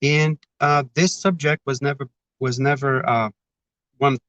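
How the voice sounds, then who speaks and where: A man's voice: mid-range voice, steady tone, unhurried, fairly bright tone, no echo. A man from Brazil with a Brazilian Portuguese accent, giving a reflective, digressive spiritual talk as a spiritist speaker. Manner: digressive, thoughtful, self-aware about repeating himself.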